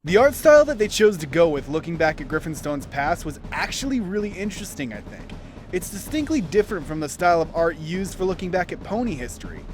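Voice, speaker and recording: A man narrating a scripted review as host in a theatrical, opinionated manner. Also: a little high. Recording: background music behind voice. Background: instrumental music.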